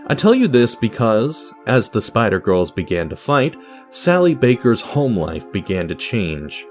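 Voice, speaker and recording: A man's voice: mid-range voice, big pitch swings, unhurried, soft background music. A man narrating a scripted fiction story in a dramatic manner, speaking to the listener as a storyteller.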